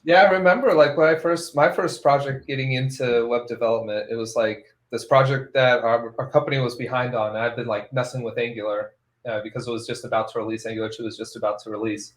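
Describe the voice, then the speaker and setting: A man's voice: mid-range voice, fairly even intonation, quick pace. Man with a roomy echo. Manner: rambling, laughs often between phrases. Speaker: podcast host. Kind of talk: casual tech podcast chat.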